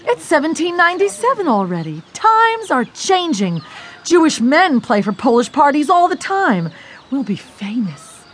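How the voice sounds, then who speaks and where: An actress playing an eager, optimistic young daughter in an audio drama, with an excited manner. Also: female voice, high voice, moderate pace. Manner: eager, animated.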